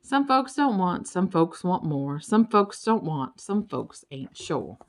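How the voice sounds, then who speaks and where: An easygoing storybook critter, part bird and part turtle, drawls in a rural Southern US accent, acted out by a woman reader. The delivery is folksy and contented, and the pitch moves about in a very animated way. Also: takes it slowly, exaggerated voice. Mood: drawling, contented. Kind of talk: children's storybook character voice.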